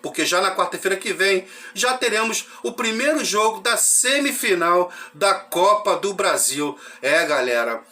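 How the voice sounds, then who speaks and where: A football fan channel host from Rio, talking in a high, bright voice gone hoarse from a cold. He runs through lineups and results in a loose, chatty way, often checking in with his listeners. A dry cough hangs under his voice. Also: lively intonation, measured pace, hardly any pauses. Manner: chatty, frequent "né?" tag questions. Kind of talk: casual match recap to fans.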